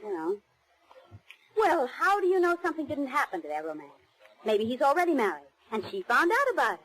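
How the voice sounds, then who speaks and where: A woman's voice: high-pitched, huge pitch swings, brisk, a bit bright, heavily acted, poor audio. An actress playing a spirited, teasing detective's girlfriend in 1940s radio crime drama, with an American accent and a playful, eager manner. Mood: questioning, eager. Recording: restored 1940s radio recording.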